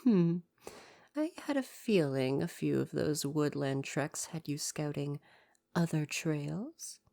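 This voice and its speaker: A man's voice: on the high side, expressive, takes it slowly, creaky, mellow, full character voice. A man playing a devoted, proper royal butler in an ASMR roleplay, speaking to the listener in a formal, deferential, fussily attentive way. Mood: sly, confiding.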